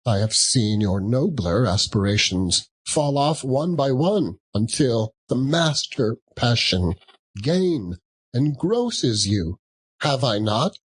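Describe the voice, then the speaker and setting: A male reader plays a fair young woman in a classic storybook, full of sorrow. She reproaches gently and softly. Her words come very slowly, with a husky grain and expressive rises and falls. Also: medium pitch, heavily acted. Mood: gently reproachful. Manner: soft, measured. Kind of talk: dramatic audiobook reading of a classic story.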